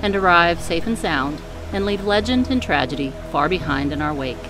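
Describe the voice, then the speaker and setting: A woman giving calm, measured scripted voice-over narration for a family sailing vlog. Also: lowish voice, music in the background, rough recording. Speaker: vlogger narrating a sailing trip.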